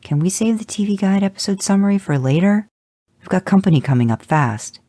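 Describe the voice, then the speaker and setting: A reader voicing Dean, a gruff male monster hunter who gives curt orders with dry sarcasm, in an audio fanfic reading. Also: mid-range voice, animated, brisk, dark tone, no room sound, voice pushed up, lightly acted.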